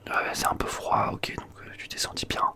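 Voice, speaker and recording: A young man whispering softly through a comic roleplay, slangy and teasing. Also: brisk.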